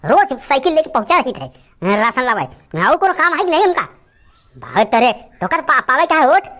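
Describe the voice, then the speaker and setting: Cantankerous lazy Awadhi uncle for comedy cartoon , acted out, high and very animated, blustering. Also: normal speed. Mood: grumbling. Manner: blustering, scolding, rambling rants full of abuse. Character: cantankerous lazy village uncle, foul-mouthed, stubborn, defiant.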